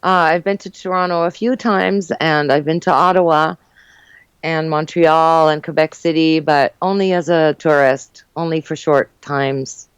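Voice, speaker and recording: Older woman telling her life story, smooth. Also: deep voice, fairly even intonation, unhurried, dry recording. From Uruguay, raised in New Jersey and New York. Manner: warm, laughs often, with fillers. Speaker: interview guest. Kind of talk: casual conversational storytelling.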